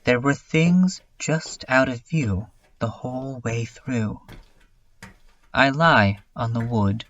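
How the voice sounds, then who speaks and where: A voice narrating a fan dub in a slow, flat, drifting monologue, with a soft, hushed delivery. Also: medium pitch, highs cut off. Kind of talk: slow monologue read over music. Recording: distant voice under loud music.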